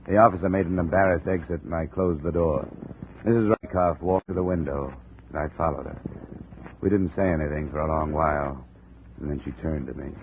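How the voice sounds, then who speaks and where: Deep-voiced hard-boiled detective. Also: male voice, steady tone, brisk. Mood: dry, deadpan. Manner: dry, clipped, needling. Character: hard-boiled, cynical male insurance investigator. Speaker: lead detective and narrator. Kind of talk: 1950 radio detective drama, first-person narration. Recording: muffled, hissy 1950 radio recording.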